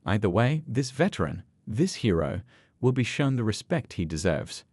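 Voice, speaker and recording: A man's voice: lowish voice, very animated, normal speed, darkish tone, slightly rough, no echo, studio quality. A man voicing a commanding old veteran biker leader with a stern, authoritative manner in a narrated story. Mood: firm, commanding.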